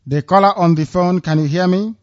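Male radio call-in host, firm and declarative, slightly higher voice, muffled lo-fi sound. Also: brisk. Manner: firm, declaring, repeatedly hailing callers. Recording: dull lo-fi radio audio.

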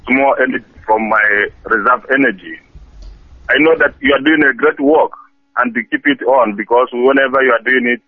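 A tinny, narrow phone line carries a Nigerian man calling a radio show. He speaks at a medium pitch, earnest and emphatic, halting now and then as he exhorts listeners. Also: no echo. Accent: Nigerian Igbo-region. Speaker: phone-in caller. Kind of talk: earnest political monologue.

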